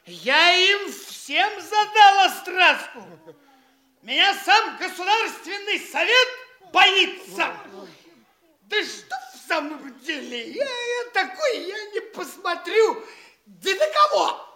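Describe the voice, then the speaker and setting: A man's voice: extremely high pitch, sing-song, pushed and bright, roomy sound, heavily acted. An actor playing a boastful, tipsy young clerk posing as a grandee in a theatrical radio play, stammering and repeating himself as he brags. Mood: grandiose, blustering. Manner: bragging, swooping, drawn-out, stammering and repetitive.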